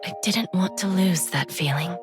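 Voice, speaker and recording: An actress voicing a grown half-demon woman who solemnly narrates memories of her childhood in a hushed, breathy, wistful way. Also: lowish voice, moderate pace, natural voice. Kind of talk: scripted dramatic narration. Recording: music behind the voice.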